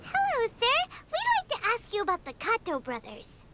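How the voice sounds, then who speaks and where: A woman voicing a tiny, chirpy female companion sprite in a video game who reacts excitably to everything. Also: very high-pitched, normal speed, heavy background noise, heavily acted. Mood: eager. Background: game music.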